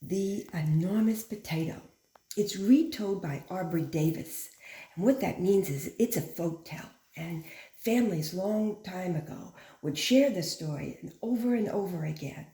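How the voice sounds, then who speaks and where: A woman reading a picture book aloud like a teacher, with simple, instructive phrasing and expressive stress on key words. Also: fairly low pitch, unhurried. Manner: simple, instructive phrasing aimed at young children. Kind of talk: animated children's picture book read-aloud. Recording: echoey room.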